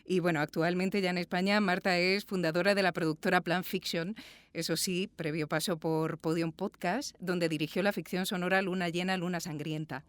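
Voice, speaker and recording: A woman's voice: fairly low pitch, steady tone, moderate pace, dry recording. Woman presenting, close dry sound. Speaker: podcast host and interviewer. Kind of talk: warm podcast presenting and interviewing. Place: small sound booth.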